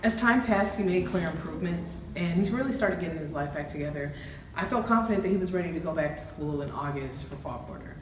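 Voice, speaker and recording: Casting: woman as reassuring counselor, lowish voice, measured delivery, student-film drama, roomy distant-mic sound. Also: animated, close to an everyday voice. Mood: earnest testimony. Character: calm, supportive female therapist, recounting a client's case. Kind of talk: amateur dramatization acting. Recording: very echoey room, distant mic, clear noise.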